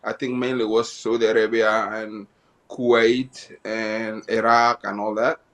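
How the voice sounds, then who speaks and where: A man from Somalia, a guest in an interview telling his life story, often halting and repeating phrases as he goes. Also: lowish voice, flat delivery, a bit bright, highs cut off.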